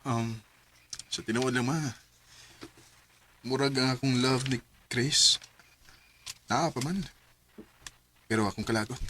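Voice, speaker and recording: A young man with a Cebuano accent, earnest and soft-spoken, acting the lead in a radio drama. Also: medium pitch, slight background noise. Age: young adult. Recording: faint music underneath.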